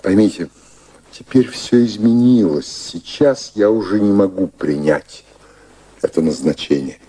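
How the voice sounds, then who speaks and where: A husky, low-voiced older gentleman. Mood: resigned, earnest. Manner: courtly, halting, repeats phrases earnestly. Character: devoted, proper older British gentleman, senior official. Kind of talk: radio play acting.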